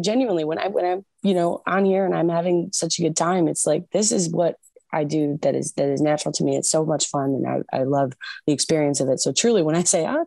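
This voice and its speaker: A singer chatting as an interview guest, animated and laughing often, with many restarts. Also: female voice, low-pitched, creaky, dry recording. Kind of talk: casual interview chat.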